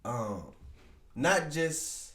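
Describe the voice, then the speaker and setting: A man's voice: on the high side, lively intonation, very slow, fairly bright tone, far from the mic. A man in his thirties hosting a podcast with laid-back, slangy casual chat. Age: 30s. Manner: laid-back, slangy, swears and jokes freely. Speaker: podcast host. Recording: echoey room, voice off-mic.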